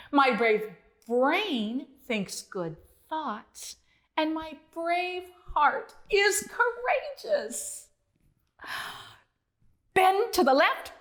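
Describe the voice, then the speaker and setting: A woman voicing a bouncy, excitable young frog in a children's storybook, exclaiming in a sing-song way. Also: sits high, full character voice. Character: bouncy excitable brave little preschool frog. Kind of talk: children's storybook read-aloud.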